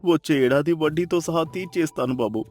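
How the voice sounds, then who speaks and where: A man's voice: fairly high pitch, a little fast, a little mellow, soft background music, lightly acted. A man playing a humble, desperate poor farmer in a dramatized moral story, lamenting and pleading deferentially.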